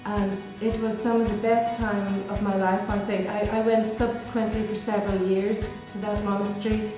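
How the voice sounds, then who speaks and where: Belfast woman, flat even voice, echoey. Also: mid-range voice, moderate pace. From Northern Ireland. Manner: gentle reflective storytelling with fillers. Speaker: Dominican sister. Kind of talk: personal testimony to camera. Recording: echoey room with music behind.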